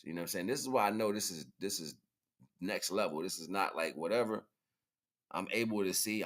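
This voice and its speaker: A man hosting a livestream, giving casual, rambling spiritual commentary in informal, slangy, halting fragments. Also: low-pitched. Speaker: livestream host.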